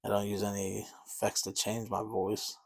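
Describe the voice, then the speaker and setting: A man's voice: fairly low pitch, monotone, moderate pace, gravelly. A man chatting casually and rambling a bit as he paints miniatures, easygoing and self-deprecating. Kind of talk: casual chat while painting.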